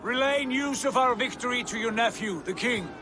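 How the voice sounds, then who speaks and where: An actor playing a proud, commanding Viking lord in a game, declaiming with authority. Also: male voice, extremely high pitch, moderate pace. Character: commanding, jubilant Viking lord, elder brother. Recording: noisy outdoor ambience behind.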